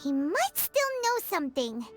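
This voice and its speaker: A woman voicing a small, excitable, childlike fairy companion in a video game, curious and given to thinking aloud with exclamations. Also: measured pace. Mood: eager. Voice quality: squeaky, childlike. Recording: background music behind voice.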